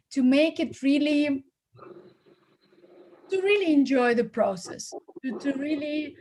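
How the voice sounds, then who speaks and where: A woman's voice: fairly high pitch, darkish tone, rough recording. A woman hosting as an acting coach, giving encouraging feedback with many fillers and repeated words. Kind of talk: casual feedback on a video call.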